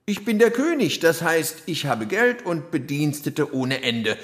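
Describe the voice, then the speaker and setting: A man's voice: a little high, very animated, measured pace, fairly bright tone. A man playing an easygoing, indulgent king and father in a fairy-tale audio drama, mild and hemming. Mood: genial, approving.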